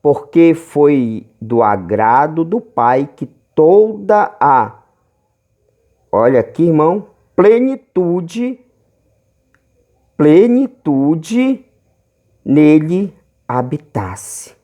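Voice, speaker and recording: A man with a Brazilian accent preaching in a home Bible-teaching video, reading verses slowly and stretching key words, calling listeners brothers. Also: on the high side, very animated, mellow. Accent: Brazilian Portuguese. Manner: fervent, calls listeners brothers often.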